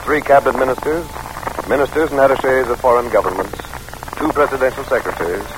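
A man announcing and narrating in 1940s radio style, formal, measured and dramatic. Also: medium pitch, background noise. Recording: old radio recording with music.

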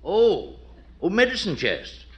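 An actor playing a vague, absent-minded older husband in a 1940s radio comedy. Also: male voice, high voice, wildly swooping pitch, takes it slowly, exaggerated voice. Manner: vague, bumbling. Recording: echoey old radio with loud crowd and hiss.

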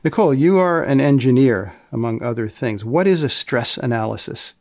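A man with a gravelly creak hosts a podcast in a deadpan, precise manner. He delivers scripted lines and short questions deliberately, recorded close and dry. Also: medium pitch, no room sound. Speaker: podcast host and magazine editor.

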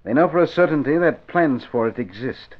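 A man's voice: a little high, animated, brisk. An actor playing a suave, intense, calculating male spy with precise, formal diction in a vintage radio drama. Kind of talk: 1950s radio drama acting. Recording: thin, phone-like vintage radio recording.

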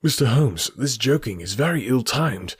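A jittery young official, as a male reader puts him on, bursts out in high, indignant, clipped lines. His voice is strained and a little breathy. The sound is close and dry. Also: normal speed, no room sound. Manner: excitable, indignant, clipped outbursts. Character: agitated young male government secretary, highstrung. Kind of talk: audiobook character reading.

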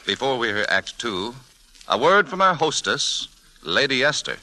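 A man's voice: mid-range voice, sing-song, moderate pace, bright, forceful tone, poor audio. An American man announcing a 1940s radio drama in a formal, theatrical manner. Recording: old radio broadcast recording. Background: faint music.

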